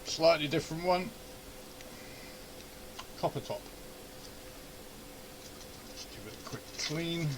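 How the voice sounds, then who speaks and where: A man's voice: fairly high pitch, takes it slowly, pauses often. Man, hobbyist video host, casual repair commentary, muttered grumbling, home workshop, noticeable background noise. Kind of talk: casual running commentary while doing a repair. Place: indoor home radio shack.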